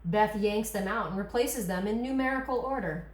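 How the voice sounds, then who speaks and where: A woman's voice: mid-range voice, moderate pace. A woman narrator reading screenplay scene headings and action lines at a table read. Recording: echoey room recording.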